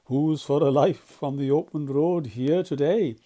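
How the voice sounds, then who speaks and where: Man putting on an excitable, boastful storybook toad. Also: a little high, measured pace, lightly acted. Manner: airy, gushing, exclamatory. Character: boastful, excitable, gushing rich male toad. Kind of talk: storybook character voice.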